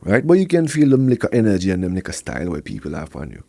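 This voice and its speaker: A man from Montreal whose first language is Quebec French, speaking English with a strong Jamaican patois flavor in a relaxed podcast interview. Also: low voice, fast talker, gravelly, dry recording. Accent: Jamaican patois over a Quebec French base. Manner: laid-back, slips into patois grammar. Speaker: reggae artist guest.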